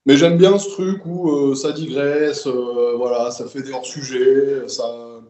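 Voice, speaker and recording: Host: mellow-voiced French man in his thirties, hesitant delivery, echoey room. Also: medium pitch, fairly even intonation, takes it slowly, lo-fi sound. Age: 30s. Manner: hesitant, many fillers, friendly. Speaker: podcast host. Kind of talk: casual podcast interview. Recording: roomy echo.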